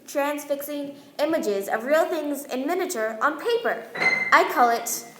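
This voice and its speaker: Woman as a high-voiced boastful inventor. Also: lively intonation, a little slow, noisy background. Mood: proud. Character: boastful Yankee inventor, the king's right-hand man. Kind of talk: amateur radio play acting. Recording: roomy live room, music behind.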